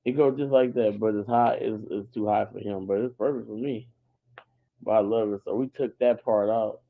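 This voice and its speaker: A hoarse man talking casually to the camera about a church song, repeating himself with enthusiasm. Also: lowish voice, fairly even intonation, normal speed, phone-quality sound.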